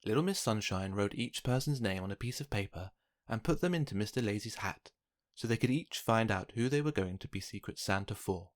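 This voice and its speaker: A man's voice: lowish voice, lively intonation, a little fast. Man reading a children's storybook aloud. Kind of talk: scripted storybook narration for children.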